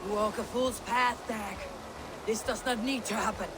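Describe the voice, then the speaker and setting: A woman's voice: a little high, fairly even intonation, noisy background, natural voice. Stern Viking clanswoman in command, acted by a woman. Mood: stern, restrained. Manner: grave, commanding, deliberate. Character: stern, resolute female Viking clan leader. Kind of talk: dramatic video game acting.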